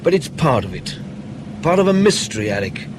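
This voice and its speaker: A man playing a composed, evasive intelligence officer in a radio drama, speaking in a dry, understated, unhurried way. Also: on the high side, big pitch swings, noisy background. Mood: businesslike. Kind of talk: naturalistic radio drama dialogue.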